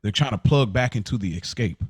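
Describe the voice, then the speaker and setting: An American man hosting a casual podcast discussion, telling stories in an animated way, acting out quotes and repeating phrases for emphasis. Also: fairly low pitch, a little fast, slightly rough, dry recording, clean studio sound. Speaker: podcast host.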